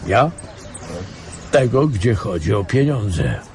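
An actor playing a world-weary, sardonic investigator in a radio play, wry and offhand, with small grunts. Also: male voice, on the low side, sing-song, takes it slowly, vocal fry, slight background noise, heavily acted. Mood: grim, reflective. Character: sardonic, world-weary male veteran investigator advising younger detectives.

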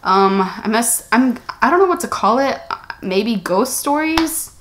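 A woman's voice: a little high, animated, a little slow. A young American woman in her twenties telling a story to camera in a small room, chatty and rambling, often correcting herself. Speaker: vlogger. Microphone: camera-mounted shotgun mic.

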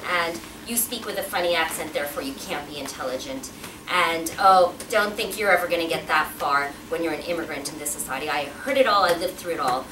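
A woman's voice: lowish voice, background noise. Woman, forties, guest speaker at a podium; roomy hall sound, mic at a distance. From Pakistan. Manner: chatty, engaging the audience with questions. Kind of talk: personal talk at a podium. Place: event hall with a backdrop, indoors. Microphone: podium mic, filmed from a distance.

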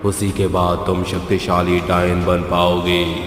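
A booming demon god. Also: male voice, completely flat delivery. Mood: commanding. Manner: slow, grave pronouncements. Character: towering demon god, solemn and commanding. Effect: echoing reverb. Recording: heavy echo reverb.

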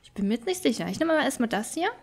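A woman's voice: fairly high pitch, sing-song, rapid-fire, faint music behind. A woman giving casual, chatty gameplay commentary, thinking aloud as she plays. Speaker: let's player.

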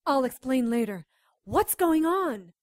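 Cocky female rescuer, played by an actress, fairly high, sharp-tongued, dry sound. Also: big pitch swings, slow pace, dry recording, heavily acted. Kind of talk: audio drama acting.

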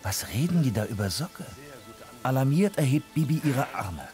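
A man's voice: mid-range voice, sing-song, normal speed, a little mellow, faint music behind. A man giving scripted narration for a children's audio drama.

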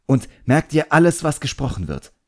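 A man's voice: a little high, big pitch swings, normal speed, a little mellow, dry recording, voice pushed up. Man voicing a boy apprentice magician, commanding, impatient, curt questions, audiobook, close dry sound. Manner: curt, demanding.

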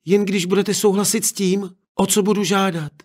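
A man's voice: high voice, steady tone, darkish tone, voice pushed up. A man voicing a brave, devoted young girl in a fairy tale read aloud, earnest and pleading. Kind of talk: storybook reading.

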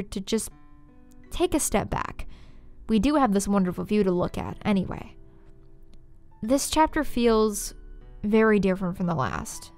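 A woman video essayist reading scripted story analysis, dramatically, with deliberate pauses. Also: mid-range voice, clean tone.

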